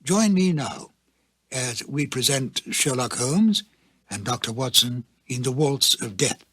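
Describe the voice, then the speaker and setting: A man's voice: medium pitch, big pitch swings, a little slow, fairly bright tone, breathy edge, no room sound, studio quality. A man giving a scripted introduction as presenter, in a formal, lecturing manner. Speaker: presenter introducing a radio drama.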